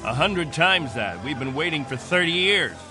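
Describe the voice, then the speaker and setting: Forceful, proud colony captain. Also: male voice, a little high, lively intonation. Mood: weary, awed. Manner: grave, insistent, halting when moved. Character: proud authoritative colony captain, long-stranded leader reluctant to yield. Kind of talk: dramatic radio acting. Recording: radio drama with faint music behind.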